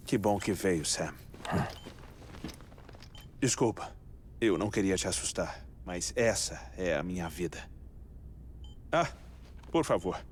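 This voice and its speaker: Low-voiced, eccentric Brazilian grieving scientist. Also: male voice, a little hiss or hum. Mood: polite, apologetic. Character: eccentric, obsessive, grieving male scientist, courteous and reflective. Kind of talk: video game cutscene dub acting. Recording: music under the voice.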